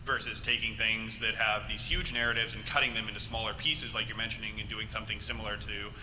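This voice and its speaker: A man's voice: flat delivery, quick pace. A man in the audience casually asking a question at a live panel in a large hall. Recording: echoey hall microphone with low rumble.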